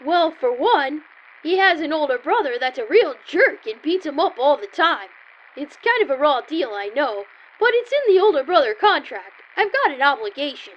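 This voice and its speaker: A woman's voice: high-pitched, very animated, moderate pace, highs cut off. A woman playing an earnest, naive little boy full of schemes in an old-time style radio comedy sketch. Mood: earnest, matter-of-fact. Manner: earnest, innocent, matter-of-fact.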